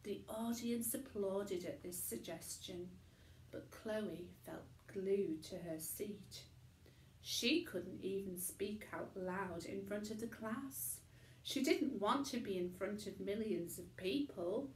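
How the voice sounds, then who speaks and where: Woman reading a story, slow and echoey. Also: medium pitch, a little hiss or hum. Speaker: storyteller reading a children's book aloud. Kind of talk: expressive bedtime-story narration. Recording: echoey room.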